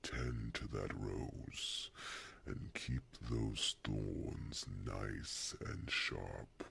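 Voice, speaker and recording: An ancient druid, with an extremely low voice full of rasp and breath. He speaks very slowly and deliberately, a wise and wry fantasy mentor addressing royalty with theatrical pauses. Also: steady tone, pushed and bright, full character voice. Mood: wry, gentle, hushed. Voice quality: very deep gravelly rasp, breathy. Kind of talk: ASMR fantasy roleplay acting.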